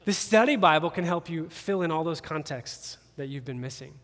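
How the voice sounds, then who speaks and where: A pastor with a somewhat high voice preaches an animated, joking sermon and speaks straight to his listeners in a conversational way. His voice carries a long reverb, as if it fills a large church auditorium. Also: moderate pace. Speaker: campus pastor.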